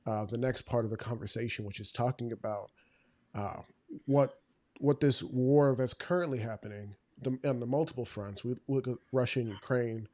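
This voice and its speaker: A man hosting a casual podcast interview, a bit stuffy from a flu, halting and repeating words as he asks questions. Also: medium pitch, darkish tone, dry recording. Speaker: podcast host.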